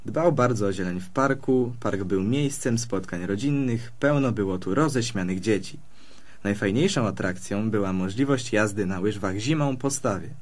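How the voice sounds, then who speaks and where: Friendly fox for a children's radio play, male actor: lowish voice, polite, measured storyteller. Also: close to an everyday voice. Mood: storytelling. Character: friendly, peaceable male fox recounting local history.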